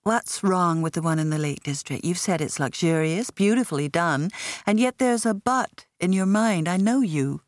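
A woman's voice: on the low side, lively intonation, normal speed, no room sound, clean studio sound, natural voice. Woman reading as a direct, perceptive businesswoman. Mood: probing.

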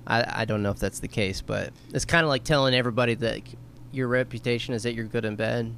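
A man co-hosting a podcast, chatting casually and rambling, his voice going hoarse. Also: medium pitch, fairly even intonation, fast talker, a bit bright, a little hiss or hum. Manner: rambling, laughing, trailing off. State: losing his voice. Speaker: podcast co-host. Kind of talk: casual chat.

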